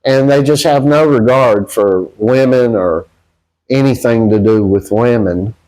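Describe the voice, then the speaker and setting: A man with a Southern US accent giving casual, rambling opinions to viewers in a halting, folksy, blunt way. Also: medium pitch, darkish tone, poor audio. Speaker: boxing channel host.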